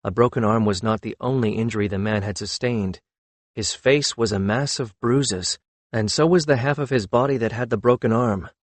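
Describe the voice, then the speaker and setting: A man's voice: medium pitch, moderate pace, dry recording. A man reading a scripted fantasy audiobook as narrator.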